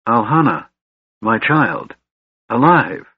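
A man's voice: medium pitch, big pitch swings, slow, slightly rough, no echo, phone-quality sound, voice pushed up, heavily acted. A man voicing a frail, tormented elf king from a fantasy storybook, delirious and strained. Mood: dazed relief.

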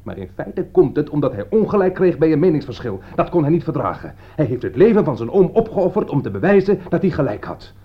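A man's voice: on the high side, big pitch swings, brisk, few pauses, husky. A man acting in a radio play as an anxious, indignant friend turned accuser, agitated and reproachful. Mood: indignant, accusing. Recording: dull lo-fi radio recording.

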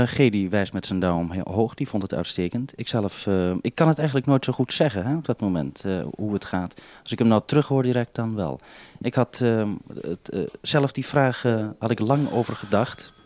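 A man with a fairly low voice hosts a two-way radio exchange. He talks off the cuff, haltingly, often breaking off and restarting his sentences as he feels for the wording. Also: a little mellow. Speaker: radio programme maker and host. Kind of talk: unscripted two-way radio conversation.

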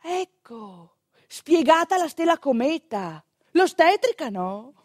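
High-voiced Italian woman storyteller, close and dry. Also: takes it slowly, no echo. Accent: northeastern Italian. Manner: expressive, conversational, with rhetorical questions and asides. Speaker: stage actor and storyteller. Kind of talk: theatrical monologue storytelling.

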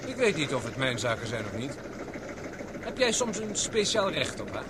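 A man's voice: medium pitch, big pitch swings, moderate pace, lightly acted. An actor playing a jealous young man who teases and provokes his rival in a radio play. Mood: needling. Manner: teasing, provocative. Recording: boat engine noise.

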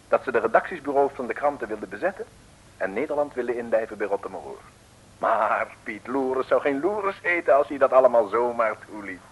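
A man's voice: mid-range voice, breathy edge, rough recording. A man narrating a 1950s radio serial from a script in a wry, droll manner.

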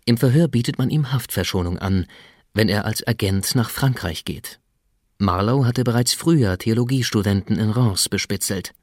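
A man reading scripted radio drama narration in a measured, factual manner as the announcer. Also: lowish voice, no echo, clean studio sound.

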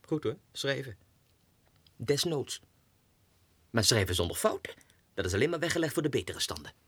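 An actor playing an unhinged, excitable low clerk who mutters haltingly to himself in a 1960s radio monologue. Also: male voice, breathy edge, no echo. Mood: brooding.

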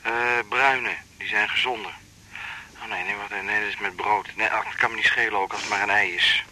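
Half asleep boyfriend on the phone, kinda deep, mumbly, hissy line, radio play. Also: male voice, steady tone, brisk. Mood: drowsy, muddled. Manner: drowsy, mumbling, hesitant. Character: sleepy, absent-minded boyfriend just woken up. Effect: telephone filter. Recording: noisy, crackly telephone line.

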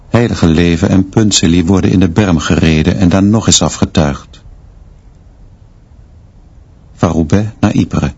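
An actor playing a stern, austere schoolteacher who narrates a radio drama in terse, measured, clipped sentences. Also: male voice, low-pitched, breathy edge, heavily acted. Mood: grim, detached. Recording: dull sound, noise and murmur behind.

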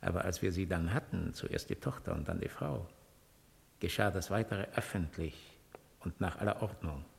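Cold by-the-book roman soldier, low creaky voice, big reverb, old radio play. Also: male voice, moderate pace. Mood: cold, matter-of-fact. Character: stern Roman soldier hunting a fallen emperor, coldly pedantic. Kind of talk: 1950s German radio drama acting. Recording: reverberant 1950s radio studio recording.

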